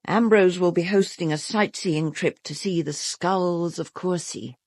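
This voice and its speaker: A woman's voice: fairly low pitch, unhurried, darkish tone, no room sound. An actress playing a grand, commanding British married woman of the theatre in an audio drama. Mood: brisk, assured. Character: imperious, theatrical married actress who fears for her safety.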